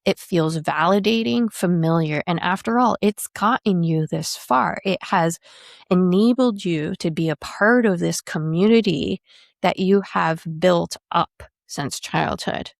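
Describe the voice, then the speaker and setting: A woman's voice: lowish voice, unhurried, vocal fry, no echo, studio quality. A woman in her thirties hosting a solo podcast as a career coach, talking in a reflective, explanatory way.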